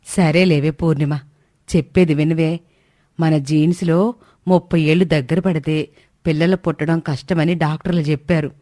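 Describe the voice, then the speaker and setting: A woman's voice: low-pitched, fairly even intonation, normal speed, mellow, no echo, studio quality, natural voice. A woman voicing a worried middle-aged mother in a story, nagging and coaxing.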